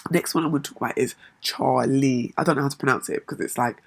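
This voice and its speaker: Brief: woman vlogger, voice gone hoarse and husky, eager chatty delivery, close dry sound. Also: extremely low pitch, fast talker, no echo. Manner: chatty and enthusiastic, with frequent fillers and repetitions. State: hoarse, lost voice. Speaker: crypto vlogger. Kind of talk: casual talk-to-camera vlog.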